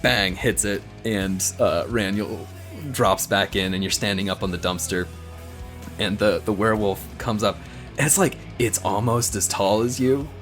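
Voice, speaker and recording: A man improvising a story as a tabletop player, casual and halting, often repeating himself. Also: fairly low pitch, a bit bright, soft background music.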